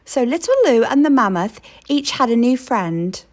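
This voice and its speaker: A woman reading a children's picture book aloud in an expressive storytelling way. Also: fairly high pitch. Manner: expressive storytelling, slow and lilting.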